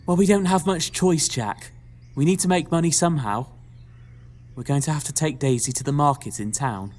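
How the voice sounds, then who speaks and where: A man's voice: on the high side, moderate pace, a little mellow, natural voice. An actor playing a melodramatic, fretful middle-aged mother in an audio pantomime, theatrical, sighing and motherly. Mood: regretful, gentle.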